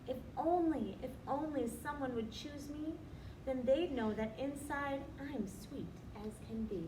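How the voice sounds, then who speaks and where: A woman's voice: high voice, measured pace, voice pushed up. A woman voicing a plump, plain storybook cookie, sad and envious, in a wistful sing-song lament. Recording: roomy, distant, noisy recording.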